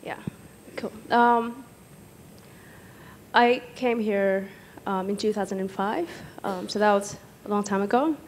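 A young woman from Egypt speaking hesitantly as a panellist on stage, pausing often, into a handheld microphone. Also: medium pitch, a little hiss or hum. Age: young adult. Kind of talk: personal account in a panel discussion. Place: stage in an auditorium with audience.

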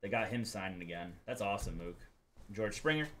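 A man's voice: lowish voice, lively intonation, fairly quick, fairly bright tone, a little hiss or hum. A young man hosting a live card break, calling out pulls with upbeat energy and joking banter. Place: indoor card break studio.

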